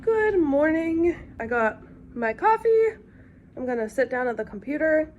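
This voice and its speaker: A young woman vlogging in a relaxed, rambling way, sounding congested and sick. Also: high voice, measured pace, lo-fi sound, slight background noise. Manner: rambling, chatty with fillers. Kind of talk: casual vlog chat.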